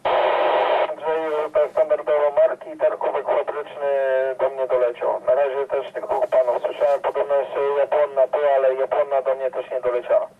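A man's voice comes tinny and narrow through a two-way radio. He is a medium-pitched operator with little variation in tone, exchanging casual reports. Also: little pitch movement, normal speed, pauses often, slight background noise. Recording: tinny, narrow-band radio speaker sound.

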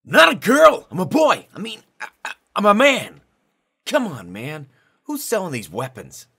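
A man puts on a nervous teenage boy superhero from a film scene, flustered but trying to act tough. The voice is pushed higher and louder, with wildly swinging pitch. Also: huge pitch swings, unhurried, creaky, slightly rough, full character voice. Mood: flustered, demanding. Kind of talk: acted film scene imitation.

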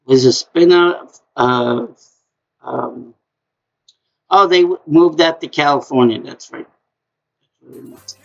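A middle-aged man with a high-pitched voice hosting a live-stream trivia game from a small room at home, talking slowly and repeating himself in a chatty way. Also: gravelly, highs cut off. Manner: slow, repetitive, chatty.

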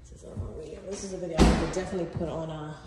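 A woman's voice: low-pitched, steady tone, rapid-fire. A woman chatting casually and informally to viewers and a companion as she films a house tour on a handheld phone. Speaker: vlogger giving a house tour. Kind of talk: casual vlog chat while walking. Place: empty house rooms, indoors. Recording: very echoey empty rooms, noisy phone audio.

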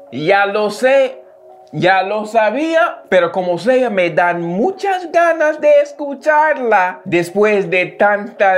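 Slow, animated American man reacting. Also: high-pitched. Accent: American English. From United States. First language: English. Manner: animated, repeats words, slow and deliberate. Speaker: reaction video host. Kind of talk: casual reaction commentary. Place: small home studio room, indoors.

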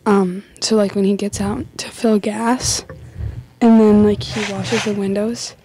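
A woman's voice: medium pitch, little pitch movement, measured pace, warm tone, poor audio. Giggly teen girl with noise behind. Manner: giggly, rambling with many likes. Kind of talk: casual chat among friends. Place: small office studio at a table. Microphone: desk podcast mic with headphones.

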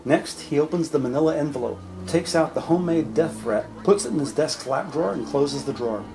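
A man narrating a crime audio drama from a script, measured and descriptive. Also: on the high side, lively intonation, creaky. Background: music.

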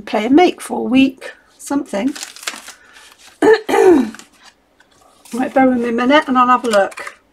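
Chatty woman with a slightly croaky voice. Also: on the high side, a little hiss or hum. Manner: chatty, rambling, thinks aloud with many ums. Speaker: craft vlogger. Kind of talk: casual crafting chat. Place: home craft table.

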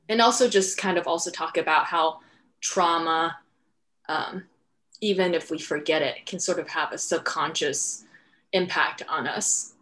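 A woman's voice: sits low, a bit bright. A woman hosting an informal panel Q&A, speaking reflectively and searching for words. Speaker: writer and director hosting a panel. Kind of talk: informal Q&A talk. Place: indoor room at home, video call. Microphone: headset on a video call.

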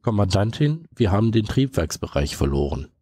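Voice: low voice, big pitch swings, fairly quick, dark tone, no room sound, clean studio sound. Eine gespielte Computerstimme eines Raumschiffs in einem Hörspiel, die knapp und sachlich Meldung macht. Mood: matter-of-fact. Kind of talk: scripted audio drama acting.

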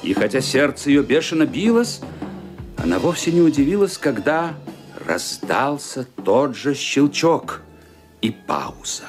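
Expressive male radio play narrator. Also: mid-range voice, a little slow. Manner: expressive, dramatic, suspenseful. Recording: music under the narration.

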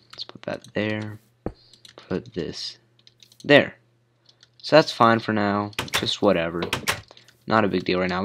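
Teenage boy, hoarse with a cold, low voice, unhurried rambling gaming commentary. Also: fairly bright tone, slight background noise. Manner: rambling, lots of fillers. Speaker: gamer. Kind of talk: casual gameplay commentary.

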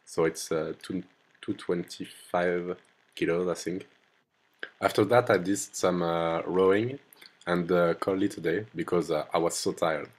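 A young man with a French accent talks through his own workout footage in a recorded voice-over. His voice sits very low, and he speaks haltingly, stopping often on fillers as he looks for words. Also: steady tone, poor audio. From France. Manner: hesitant, many fillers and pauses. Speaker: lifter narrating his training video. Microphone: voice-over recorded apart from the gym footage.

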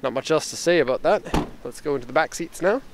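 A middle-aged man giving a casual, unscripted car walkaround, relaxed and thinking aloud, recorded on a handheld camera. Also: fairly high pitch, fast. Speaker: car exporter presenting a vehicle. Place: outdoors by a parked car and inside it. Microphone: handheld camera microphone. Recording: outdoor handheld camera, clear ambient noise.

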